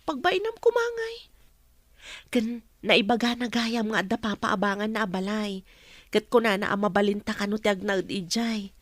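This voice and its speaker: A woman's voice: mid-range voice, lively intonation, normal speed, no echo, lightly acted. A woman playing a meek, earnest young wife with an anxious, deferential way of talking, in a radio drama. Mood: earnest, concerned.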